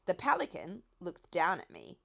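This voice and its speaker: A woman narrating a children's audiobook in an expressive storytelling style. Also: fairly low pitch, moderate pace, vocal fry. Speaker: audiobook reader.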